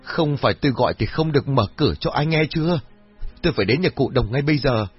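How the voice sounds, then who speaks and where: Man playing an anxious village chief, husky voice. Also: medium pitch, expressive, quick pace, highs cut off, soft background music, voice pushed up. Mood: urgent. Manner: anxious, urgent, authoritative. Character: worried older male village chief, urgent and commanding.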